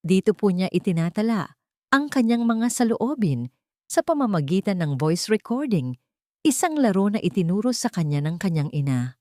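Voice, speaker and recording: A woman's voice: medium pitch, very animated, moderate pace, warm tone, no room sound, studio quality, natural voice. Woman playing a firm, formal courtroom attorney. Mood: formal, assured. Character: firm, composed attorney arguing for a child.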